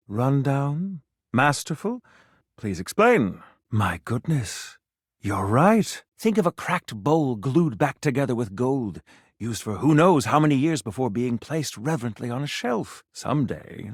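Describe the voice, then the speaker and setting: Flamboyant food critic, video game character, grandiloquent, romantic, refined diction, highly melodic intonation, dry studio sound. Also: male voice, slightly rough, dry recording. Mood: fussy, critical, then moved. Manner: florid, grandiloquent, theatrical, savoring words. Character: flamboyant, pompous gourmet food critic, romantic, theatrical, a grown man.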